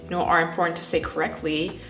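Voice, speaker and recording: Young dutch woman chatting away, lower voice, lots of likes and ums, echoey room with faint music behind. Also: flat delivery, fast, fairly bright tone. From Netherlands. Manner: chatty, frequent fillers like "like" and "um". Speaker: trichologist and YouTuber. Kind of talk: casual chat to camera.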